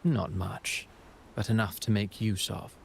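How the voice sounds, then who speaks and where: An actor playing a calm, scheming playwright villain in a video game cutscene, speaking in formal, poetic, courteous phrases. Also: male voice, fairly low pitch, unhurried, creaky, slight background noise. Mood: courteous, composed.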